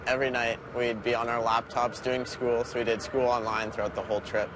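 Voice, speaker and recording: A man's voice: medium pitch, level tone, brisk. A teenage boy, a guest answering interview questions in a plain, straightforward way. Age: teens. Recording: clear traffic noise.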